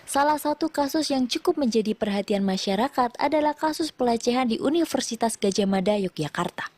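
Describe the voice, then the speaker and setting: A female reporter with a moderately high voice. She narrates a scripted television news package, reading in full, formal sentences. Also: animated, fairly quick, darkish tone, no echo.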